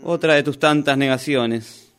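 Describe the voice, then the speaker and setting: A man's voice: mid-range voice, unhurried, clean tone, natural voice. An actor with a Rioplatense Argentine accent playing a defiant, indignant character from a novel who argues with his author, in a radio play rehearsal. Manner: argumentative, reproachful.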